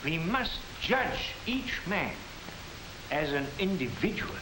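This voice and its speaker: An actor in a 1940s educational film playing a calm lecturer, speaking in a measured, didactic way. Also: male voice, fairly high pitch, full character voice. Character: calm man lecturing against racial myths. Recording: hissy 1940s film soundtrack.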